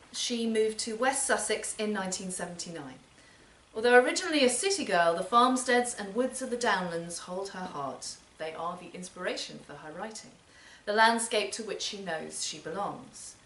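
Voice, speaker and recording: A woman's voice: mid-range voice, animated, normal speed, fairly bright tone. A woman hosting a live literary event, giving a prepared spoken introduction. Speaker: event host introducing readers. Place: performance space in a library centre. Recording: roomy, distant mic, background noise.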